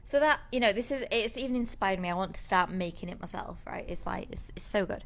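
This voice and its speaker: A woman's voice: mid-range voice, fast, slight background noise. A woman co-hosting a podcast, telling a rambling story in casual chat, with many restarts. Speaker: podcast co-host.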